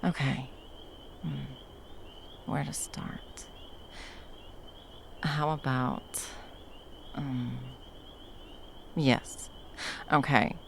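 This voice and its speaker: A woman playing a protective, tender monster hunter in an intimate ASMR roleplay, speaking haltingly and breathily, often dropping toward a whisper. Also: a bass voice, fairly even intonation, gravelly, a bit bright, slight background noise, full character voice. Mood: tender, hesitant. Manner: halting, coaxing, speaking to a beloved. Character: wandering female monster hunter, fiercely protective, tender lover.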